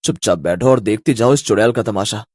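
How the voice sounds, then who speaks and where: A man voicing a fretful, talkative husband in a cartoon, with a husky, expressive delivery. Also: fairly low pitch, fairly quick, no echo, clean studio sound. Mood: resigned.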